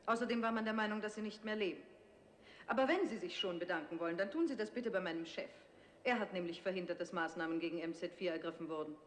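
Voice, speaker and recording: An actress in her thirties playing a proud, sharp-tongued security lieutenant, crisp and formal, in 1960s TV drama style. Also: on the low side, fast talker, a little hiss or hum, close to an everyday voice. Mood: cool.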